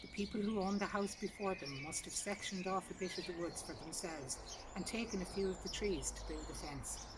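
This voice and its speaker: A woman's voice: lowish voice, fairly quick, background noise. A woman reading a literary short story aloud outdoors in a garden. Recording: distant mic, loud outdoor birdsong. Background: birdsong.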